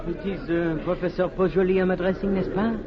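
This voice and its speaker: A man's voice: sits high, steady tone, fairly quick, crowd noise, lightly acted. Man playing a suave French-accented government minister. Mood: courteous. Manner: formal, ornately courteous. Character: suave Haitian government minister, courteous, articulate, ardently persuasive. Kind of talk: 1940s-50s radio drama acting. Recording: dull, noisy vintage radio broadcast.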